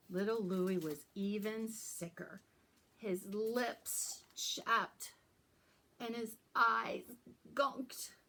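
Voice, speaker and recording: Slow-paced woman hosting children's storytime. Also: fairly low pitch, fairly bright tone, a little hiss or hum. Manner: simple, deliberate phrasing with questions to young listeners. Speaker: children's librarian and storytime host. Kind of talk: children's storytime presenting and read-aloud.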